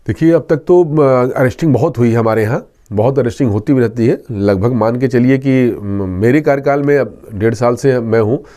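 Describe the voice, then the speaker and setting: A man's voice: mid-range voice, normal speed, few pauses, mellow. A man answering interview questions as a police inspector, earnestly instructing and repeating his key points. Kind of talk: explanatory interview answers with safety advice.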